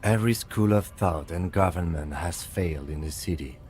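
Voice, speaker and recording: A man playing a stoic police lieutenant in a game, with a low voice. He is measured and sober, with noisy ambience around him. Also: a little mellow, natural voice. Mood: sober affection. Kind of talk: game dialogue.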